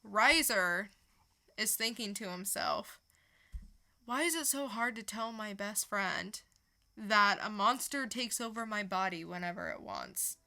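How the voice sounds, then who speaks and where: A woman's voice: medium pitch, lively intonation, a little slow, bright, forceful tone. A woman co-hosting a podcast, chatting casually through a comic book review and retelling it with casual swearing. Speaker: podcast co-host.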